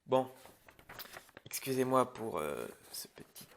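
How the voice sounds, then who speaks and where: Un homme jouant un réalisateur irritable à la voix grésillante. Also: male voice, mid-range voice, big pitch swings, takes it slowly, vocal fry, a little hiss or hum, exaggerated voice. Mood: hesitant, muttering. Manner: hesitant muttering mixed with curt, sarcastic orders. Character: irritable, bossy male film director running a prep meeting. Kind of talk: improvised ASMR roleplay parody.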